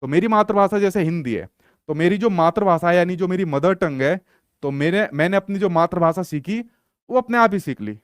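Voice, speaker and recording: A man's voice: high-pitched, no echo, studio quality. A young male teacher lecturing in an online exam-prep class, repeating points and asking rhetorical questions he answers himself. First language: Hindi.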